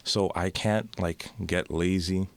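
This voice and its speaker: Young man from Canada, very low voice, relaxed rambling guest, dry studio sound. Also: slow, dry recording. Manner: relaxed storytelling with frequent restarts. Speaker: guest singer. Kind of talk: casual interview chat.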